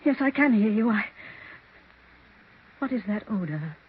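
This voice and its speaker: An actress playing a frightened, easily overwhelmed woman facing a ghost, halting and tremulous, in 1940s radio melodrama style. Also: medium pitch, expressive, close to an everyday voice. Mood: anxious, awed. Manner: halting, gasping, tremulous exclamations. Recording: dull, hissy 1940s radio recording.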